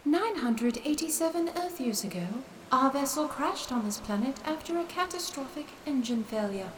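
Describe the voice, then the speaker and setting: A woman playing a calm alien sentient in an audio drama, speaking in a formal, measured, solemn way. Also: high-pitched, a little hiss or hum. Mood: solemn, explanatory. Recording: long reverb, distant, music behind.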